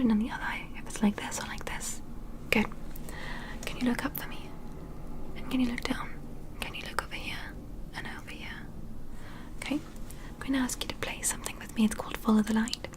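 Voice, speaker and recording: A woman's voice: a little high, lightly acted, poor audio. Whispering, politely curious alien examiner played by a woman. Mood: gentle, instructing. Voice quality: breathy, unvoiced whisper. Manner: gentle, clinical, questioning. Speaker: ASMR roleplay performer. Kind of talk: ASMR medical roleplay.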